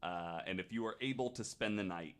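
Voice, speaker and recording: Male podcast host, lowish voice, casual storyteller with a joking streak. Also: fairly quick, a bit bright. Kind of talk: casual retelling of ghost stories from notes.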